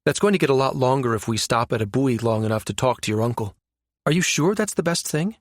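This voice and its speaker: A man voicing a brisk, sardonic, exasperated woman space operative with informal, slangy speech in a science fiction audiobook. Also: mid-range voice, lively intonation, no room sound, clean studio sound, close to an everyday voice. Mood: doubting.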